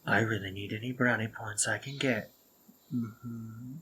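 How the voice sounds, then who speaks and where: A man voicing a sardonic but tender spider-monster man, speaking in a soft, breathy half-whisper in an intimate audio roleplay. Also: on the low side, fairly even intonation, unhurried, slight background noise, rough recording. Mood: wry, fond. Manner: gentle, dry and teasing, intimate.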